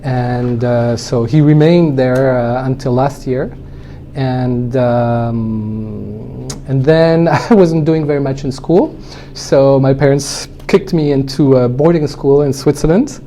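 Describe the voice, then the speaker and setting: A man with a French accent talks through his past in a mellow, dark-toned voice, pausing with frequent fillers. His delivery is unhurried and good-humored, easing into a laugh now and then. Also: medium pitch, a little hiss or hum. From born in El Salvador, raised abroad. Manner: hesitant, many fillers, laughs easily. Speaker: winemaker guest. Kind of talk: casual interview storytelling.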